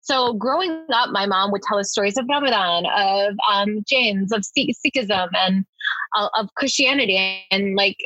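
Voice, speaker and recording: A woman talks quickly and casually, with frequent fillers. Her voice is a little breathy and husky, close and dry, with slightly dull sound. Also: medium pitch, bright, forceful tone, no echo. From family from Hyderabad, India. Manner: quick, chatty, lots of "like" fillers. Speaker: children's book publisher. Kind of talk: panel interview answer.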